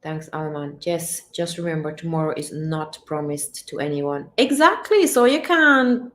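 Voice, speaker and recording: A woman chatting casually on a livestream, reading her chat and urging viewers to chase their dreams, animated and repeating herself often. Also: low voice, a little slow, roomy sound. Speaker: vlogger reading live chat.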